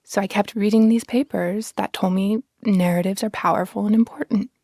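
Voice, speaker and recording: Woman, interview guest, expressive, articulate explainer, average pitch, very dry close sound. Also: normal speed, no echo. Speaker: podcast guest, science communicator.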